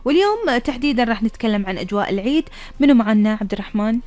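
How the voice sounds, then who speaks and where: A woman's voice: a little high, animated, very fast, darkish tone. A woman with a Gulf Arabic accent, hosting a radio programme in a conversational style. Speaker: radio show host.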